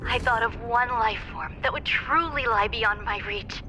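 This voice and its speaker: Woman playing a high-voiced composed genius scientist. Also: a little slow, close to an everyday voice, poor audio. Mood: cool, musing. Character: coolly logical, ambitious young female genius scientist. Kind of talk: scripted game monologue. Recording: loud game music underneath. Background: game music.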